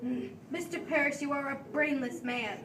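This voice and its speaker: A woman's voice: high-pitched, moderate pace, close to an everyday voice. A woman playing a stern, imperious male colonial judge in an amateur play, with curt, authoritative questioning. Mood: scornful, firm. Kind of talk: amateur stage-play acting. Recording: echoey room, distant mic, faint noise.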